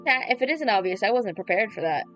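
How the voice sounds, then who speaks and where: A woman's voice: mid-range voice, lively intonation, quick pace, highs cut off. A woman livestream host reading a visual novel aloud, with playful casual reactions. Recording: background music under the voice. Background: music.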